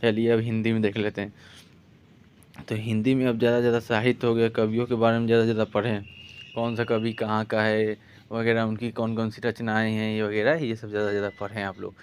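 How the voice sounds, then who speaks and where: A man speaks in a low, very even voice over faint background noise. He coaches students informally, giving direct instructions and often repeating them. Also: quick pace. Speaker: exam-prep coach. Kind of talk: informal exam-advice lesson.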